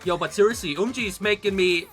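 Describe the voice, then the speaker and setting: A man hosts a casual reaction video in a high, bright voice. He is excitable and rambles, circling back over his own phrases. Faint music plays behind him. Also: quick pace. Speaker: host of a K-pop reaction channel. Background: pop music from the video being watched.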